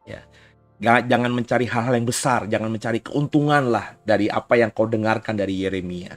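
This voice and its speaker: A man's voice: fairly low pitch, fairly quick, dry recording. A male pastor speaks. He preaches conversationally, full of small tags and asides, and is getting over a cough. Faint music plays underneath his voice. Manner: informal, frequent "ya" tags and asides. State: recovering from a cough. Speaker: pastor giving a recorded sermon. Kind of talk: conversational sermon.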